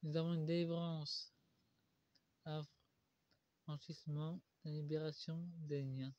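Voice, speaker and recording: Casting: halting tarot reader with a stuffy cold, man, somewhat high and mellow voice. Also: little pitch movement, dry recording. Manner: halting, with many pauses and "euh" fillers. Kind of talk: solo tarot card reading. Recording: dull lo-fi recording.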